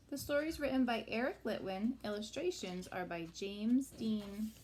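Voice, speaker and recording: A woman reading a picture book aloud to young children as a teacher, with sing-song, exaggerated expression. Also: fairly high pitch.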